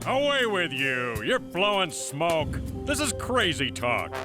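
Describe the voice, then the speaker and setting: An actor playing a gruff, stubborn working man in a historical cartoon, blunt and scoffing. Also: on the high side, big pitch swings, slow. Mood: scornful. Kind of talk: animated historical cartoon acting. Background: loud background music.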